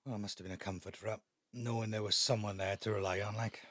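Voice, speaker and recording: An actor playing a prickly, resentful grown-up son, terse and dryly sardonic, in an audio drama. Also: male voice, lowish voice, steady tone, fast, a bit bright, no room sound, clean studio sound. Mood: awkward. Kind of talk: naturalistic audio drama acting.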